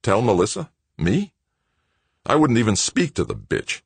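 An embittered, volatile grown son in an audiobook, acted out by a man. He snarls and rants in a raised, wildly moving voice with a rough husk to it, recorded close and dry. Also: on the low side, sing-song, moderate pace, no room sound, voice pushed up, full character voice. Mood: mocking, casual. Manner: snarling, ranting, screaming. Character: volatile, bitter adult son, enraged and anguished. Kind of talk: audiobook character acting.

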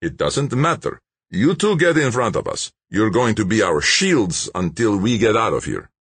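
Man acting a barking, raised-pitch menacing gunman. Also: very animated, normal speed, fairly bright tone, husky, dry recording, full character voice. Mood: commanding. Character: rough, menacing male gunman, agitated and bossy.

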